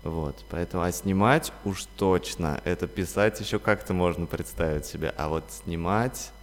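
Male filmmaker guest, very low voice, relaxed conversational delivery, long reverb. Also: normal speed. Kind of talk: casual conversational discussion.

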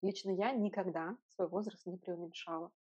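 A woman's voice: fairly low pitch, steady tone, moderate pace, mellow, slightly rough, muffled recording. A woman co-hosting a podcast in casual discussion, arguing her opinions in a reasoned way with rhetorical questions. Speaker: podcast co-host.

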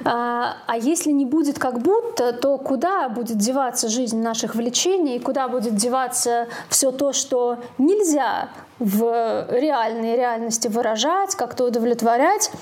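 A woman's voice: fairly high pitch, measured pace, a little mellow. A woman speaking in an explanatory, assertive way as a co-host in a conversational podcast discussion. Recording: faint background noise.

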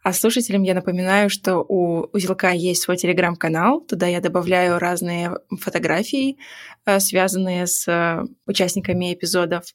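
Lower-voiced woman podcast host. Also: fairly even intonation, moderate pace. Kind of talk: interview questions.

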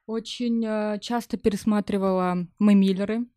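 A woman's voice: mid-range voice, steady tone, normal speed, dark tone, no room sound, clean studio sound. A woman chatting casually as a podcast guest, informal and thinking aloud as she goes. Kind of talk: casual chat.

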